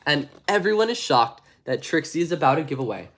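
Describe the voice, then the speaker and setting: A man reads a children's bedtime story aloud. His pitch moves around a great deal as he narrates expressively, and he slips into casual, chatty asides around the text. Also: very animated, a little fast, a bit bright, slight background noise. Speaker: storybook reader.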